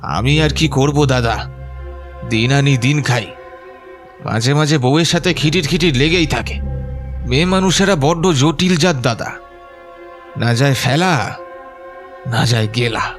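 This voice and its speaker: A man's voice: medium pitch, unhurried, long pauses, soft background music, lightly acted. An actor playing a greedy, resentful village man in an audio horror story, complaining and wheedling in a folksy way. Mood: grumbling. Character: grumbling, greedy, resentful village man, fawning on his elder.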